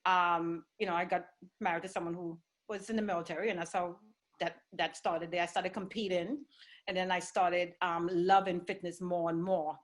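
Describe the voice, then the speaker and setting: A woman's voice: fairly low pitch, little pitch movement, moderate pace. A middle-aged woman from Trinidad, a fitness trainer, talking easily as a guest with plenty of fillers. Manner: easygoing, with light laughter and fillers. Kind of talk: conversational interview.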